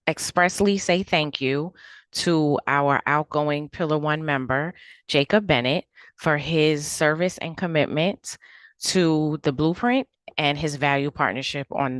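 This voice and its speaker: A woman moderating a committee video meeting, speaking slowly and deliberately with filler pauses. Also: deep voice, a bit bright, dry recording, clean studio sound. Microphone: video call.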